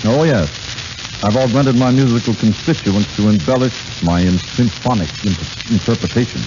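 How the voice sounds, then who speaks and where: A man's voice: fairly low pitch, moderate pace, close to an everyday voice. A man playing a pompous, college-educated orchestra leader in 1930s radio comedy, speaking in big ornate words. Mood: self-important. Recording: muffled, heavily crackling old radio broadcast.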